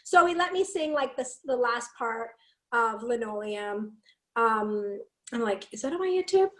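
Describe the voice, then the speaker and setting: A woman from Canada with a raspy, fairly deep voice, telling stories in a casual chat and acting out the lines she quotes. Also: a little slow, roomy sound. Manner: animated, acts out quotes, laughs often. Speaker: podcast guest, singer and speaker.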